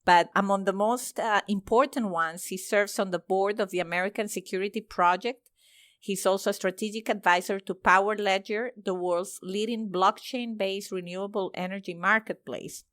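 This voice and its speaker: A woman's voice: mid-range voice, fairly even intonation, moderate pace, a bit bright, dry recording, studio quality. A woman hosting a podcast interview, gushing with praise and often restarting her sentences. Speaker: podcast host.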